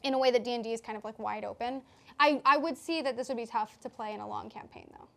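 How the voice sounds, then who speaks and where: A woman with a highish voice talks at length in a relaxed post-game chat. She is a player, reflective and conversational as she works through her thoughts. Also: quick pace, vocal fry.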